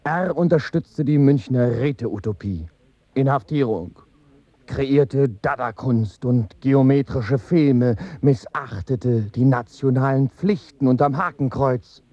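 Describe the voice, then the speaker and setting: Stern radio-play chronicler: husky, unhurried, clipped phrases, slightly dull sound. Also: male voice, mid-range voice, warm tone, no echo. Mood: clipped, matter-of-fact. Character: stern male chronicler of revolutionary lives, clipped and emphatic. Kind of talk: German radio drama narration.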